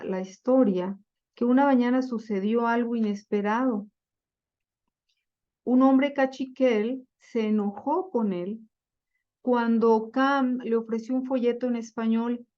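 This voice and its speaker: A woman giving a slide-based lecture on Bible translation, speaking deliberately with frequent fillers and self-corrections. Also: on the high side, muffled recording.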